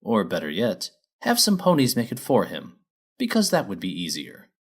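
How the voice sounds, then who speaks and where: A man reading a children's story as narrator in an expressive, scripted storytelling way. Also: fairly low pitch, normal speed, breathy edge.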